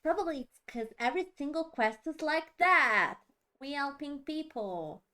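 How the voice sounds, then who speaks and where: Slow-paced, playful woman streamer, high voice. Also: expressive, sharp tone. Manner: rambling, playful. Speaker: video game streamer. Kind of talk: casual live gameplay chat.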